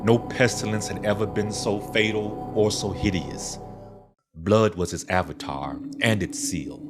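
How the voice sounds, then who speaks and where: A man's voice: on the low side, fairly even intonation, measured pace. A man narrating a scripted gothic horror tale in a theatrical, literary storytelling manner. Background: background music.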